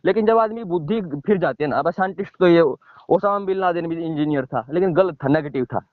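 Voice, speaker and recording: A man's voice: fairly high pitch, brisk, no room sound. A man explaining things informally on a phone call, emphatic and repetitive, often checking in with short tag questions. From village in India. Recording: dull lo-fi phone call recording.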